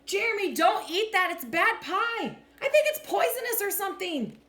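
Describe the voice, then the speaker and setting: An earnest young boy from a children's storybook, acted out by a woman, speaks emphatically, with pitch jumping around in a lively way. The room sounds echoey. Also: moderate pace. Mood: urgent, worried. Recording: echoey room with faint background noise.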